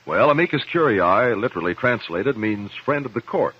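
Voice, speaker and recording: An actor in a 1950s radio drama playing a principled cross-examiner, measured, articulate and pressing. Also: male voice, mid-range voice, animated, husky. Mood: calm, explanatory. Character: probing, principled male courtroom cross-examiner. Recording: muffled vintage 1950s radio recording.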